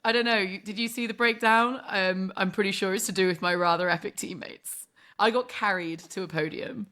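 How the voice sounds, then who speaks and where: A woman's voice: mid-range voice, fairly even intonation, brisk, sharp tone. A woman in her thirties from England, a sports broadcast journalist chatting casually as a podcast guest, self-deprecating and laughing often, with lots of "like" fillers.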